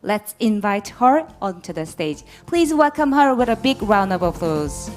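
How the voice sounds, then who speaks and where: A woman's voice: medium pitch, big pitch swings, a little slow, faint music behind. A woman emceeing a conference, formally introducing the next presenter. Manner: formal, announcing. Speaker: event emcee. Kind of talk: formal stage announcement.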